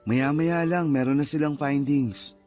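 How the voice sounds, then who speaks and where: An actor playing a calm, reasoning young father explaining things to his wife in a radio drama. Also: a little high, measured pace, faint music behind, lightly acted. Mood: calm, concerned.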